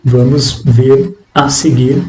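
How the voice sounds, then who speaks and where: A man with a Brazilian accent teaching an English lesson, reading material aloud and explaining it in a deliberate, didactic manner. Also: mid-range voice, little pitch movement, dark tone. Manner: deliberate, didactic, repeating phrases in translation. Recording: echoey room with faint background noise.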